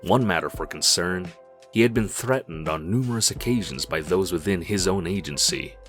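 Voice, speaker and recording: Very deep male comic book narrator. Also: expressive, normal speed, fairly bright tone, soft background music.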